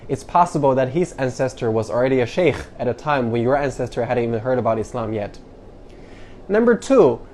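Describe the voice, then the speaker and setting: Male YouTuber, earnest explainer, mid-pitched, faint background hiss. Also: darkish tone. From China. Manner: earnest, punches up each numbered point. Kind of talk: explainer vlog counting down a list.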